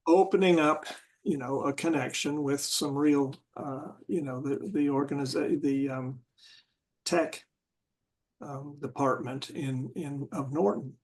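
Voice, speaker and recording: Slow, halting man with a high voice. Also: steady tone, a little mellow, rough recording. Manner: halting, searching for words with many restarts. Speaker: discussion group member. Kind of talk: casual group discussion.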